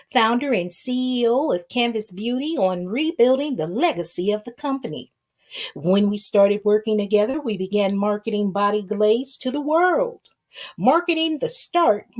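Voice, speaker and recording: Woman acting out old man, meek, soft, docile, earnest victim, dramatic reading of a statement. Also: mid-range voice.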